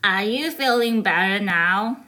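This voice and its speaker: Woman as a slow, easygoing crocodile gentleman. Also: medium pitch, soft background music. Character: male crocodile gentleman, easygoing big eater.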